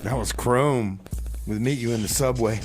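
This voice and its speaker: A man's voice: mid-range voice, moderate pace. A man hosting a community radio music show with casual, loose, informal DJ patter.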